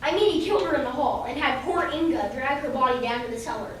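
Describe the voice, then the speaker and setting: A woman acting as a calm, confident male police detective in an amateur radio drama. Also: high voice, fast, natural voice. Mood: assured. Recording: very echoey hall, distant mic, clear noise.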